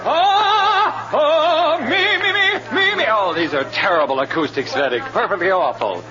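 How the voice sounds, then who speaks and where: A man's voice: extremely high pitch, measured pace. An actor playing a vain male singing star in an old radio drama, pompous, theatrical and affected. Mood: self-absorbed. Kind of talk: 1950s radio drama acting. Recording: muffled old radio recording, music behind.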